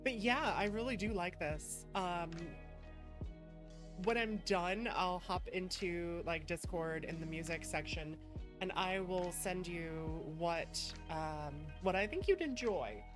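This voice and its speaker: A woman's voice: fairly low pitch, takes it slowly, music in the background. A woman streamer chatting casually to her viewers in an affectionate, effusive and thankful manner. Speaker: streamer hosting a live stream. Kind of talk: casual livestream chat to viewers.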